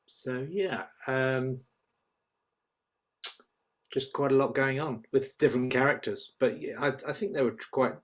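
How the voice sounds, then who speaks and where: A man's voice: medium pitch, measured pace, pauses often. A man giving informal, tentative feedback on a script as a panel reader, hesitating as he goes.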